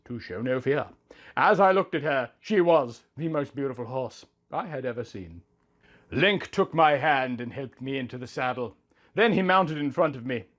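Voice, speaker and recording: A man's voice: mid-range voice, expressive, normal speed, fairly bright tone, close to an everyday voice. A man voicing a lovestruck young woman who narrates her honeymoon as a swooning, melodramatic romance story. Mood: dreamy, contented. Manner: swooning, overwrought. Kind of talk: melodramatic romantic fan fiction reading.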